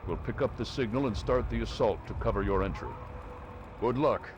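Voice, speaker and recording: An actor playing a stern, composed military officer who gives mission briefings in a measured, formal, authoritative way. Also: male voice, lowish voice, noisy background, natural voice. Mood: resolute.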